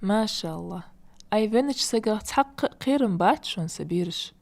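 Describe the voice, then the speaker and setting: A woman voicing a kindly, patient grandmother in a cartoon dub, speaking in a measured, instructive way. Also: medium pitch, lively intonation, gravelly, darkish tone. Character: kindly, patient grandmother who teaches children.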